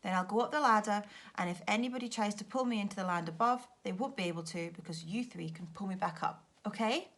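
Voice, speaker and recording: A woman's voice: mid-range voice, fast talker, a bit bright. A woman voicing a worried young boy who takes charge in a children's storybook, giving urgent orders. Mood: worried, commanding. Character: brisk, commanding young boy Joe, worried leader.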